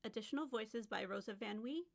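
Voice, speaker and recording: High-voiced woman reading podcast credits. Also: brisk, vocal fry.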